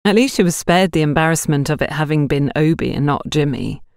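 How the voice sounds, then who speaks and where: A woman's voice: sits low, brisk, creaky, darkish tone, no room sound, clean studio sound. A woman narrating a scripted mystery story as an audiobook reader.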